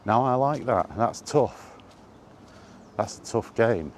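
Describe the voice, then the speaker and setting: A man chatting casually in a rambling solo monologue, halting and musing, often repeating his phrases, recorded outdoors. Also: mid-range voice, lively intonation, a little hiss or hum. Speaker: host of a gaming chat series. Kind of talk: casual rambling monologue about video games.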